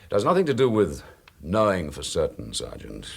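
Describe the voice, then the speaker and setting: An actor playing a dry, dogged chief inspector in a radio drama, with a British accent and a brisk, wry, authoritative manner. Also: male voice, sits low, wildly swooping pitch, vocal fry, husky, full character voice. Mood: musing, dogged.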